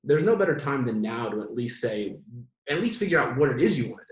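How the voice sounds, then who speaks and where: A man's voice: medium pitch, fast talker. Young male guest, late 20s, loose rambling talker with a bit of room echo. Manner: rambling, animated, many restarts. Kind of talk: casual conversation.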